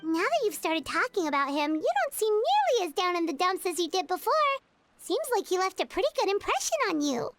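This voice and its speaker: A woman's voice: extremely high pitch, sing-song, a little fast, full character voice. An actress voicing a chatty, curious little companion girl in a video game who talks about herself in the third person. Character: tiny floating girl companion, chirpy and curious. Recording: faint game music behind.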